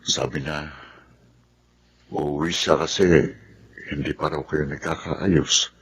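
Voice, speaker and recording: An actor with a Filipino accent playing a grave, sympathetic military officer with a deep gravelly voice in a radio drama. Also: male voice, fairly even intonation, slow pace, fairly bright tone, full character voice. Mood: solemn, sympathetic.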